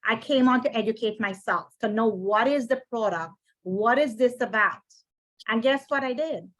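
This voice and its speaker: Emphatic middle-aged Guyanese woman, higher-pitched. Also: fairly bright tone, low-quality recording. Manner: emphatic, repeats key phrases. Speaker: financial presenter. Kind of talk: informal sales presentation to an audience.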